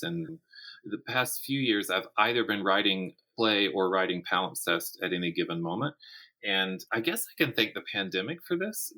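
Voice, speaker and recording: Middle-aged male guest, low and bright, casual, thoughtful conversationalist. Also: rough recording. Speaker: guest, writer and college teacher.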